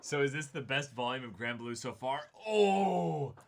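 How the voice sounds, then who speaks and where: A man co-hosting a manga reading stream, chatting casually in an expressive, exclamatory way. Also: medium pitch, a little slow, creaky, a little hiss or hum. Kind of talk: casual discussion.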